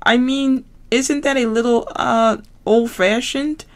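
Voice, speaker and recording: A woman's voice: a little high, fairly even intonation, slow pace, voice pushed up. A woman reading a storybook aloud and voicing a kind, easily pushed male frog who stammers and hesitates. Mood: hesitant.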